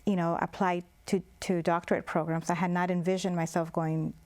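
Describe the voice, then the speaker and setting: A woman from Mexico talks through her story on a community TV interview. Her voice sits a little low, and she hesitates often, circling back with fillers as she thinks aloud. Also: level tone, fairly quick, a little mellow. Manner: thoughtful, hesitant, many fillers and restarts. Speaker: guest. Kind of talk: public-access TV interview.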